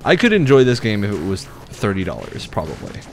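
A man's voice: fairly low pitch, huge pitch swings, a little fast. A young man giving casual, critical, bemused commentary as he streams a video game.

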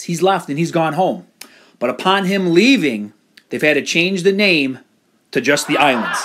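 A man hosting a rock podcast, upbeat and joking, often laughing as he talks, over canned crowd noise and music. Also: a little high. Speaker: podcast host. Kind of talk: casual interview with jokes.

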